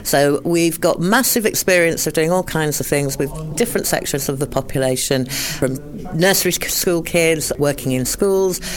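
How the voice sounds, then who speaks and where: A woman's voice: low voice, moderate pace. A woman from Leeds, speaking enthusiastically and fluently as a guest on a community radio interview, with occasional restarts. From Leeds, England. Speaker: arts charity organiser.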